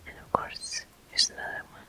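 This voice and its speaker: A person whispering soothing, unhurried ASMR game commentary in a breathy voice, close to the microphone. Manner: soothing, unhurried, softly encouraging. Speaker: game streamer.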